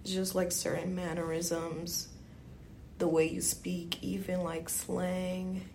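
Creaky-voiced woman in casual chat. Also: fairly low pitch, little pitch movement, takes it slowly, darkish tone, slight background noise. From Guyana. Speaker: podcast co-host.

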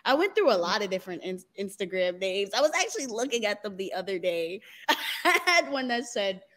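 A woman's voice: mid-range voice, sing-song, brisk, a bit bright. A young adult woman, a dancer and model, talking candidly as a guest in a casual interview, often laughing and using "y'all" and "like.".

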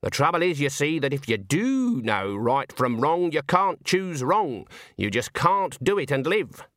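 A man reading aloud and voicing a stern, shrewd old country witch who speaks in a dry, knowing, quietly menacing way. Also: on the high side, very animated, unhurried, no room sound.

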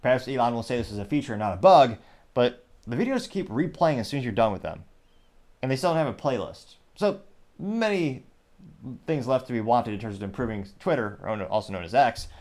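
A man's voice: medium pitch, lively intonation, darkish tone. A man hosting his own commentary show, rambling and correcting himself as he goes, recorded on a small USB microphone. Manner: rambling, self-correcting, mentions stuttering and speaking fast. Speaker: show host.

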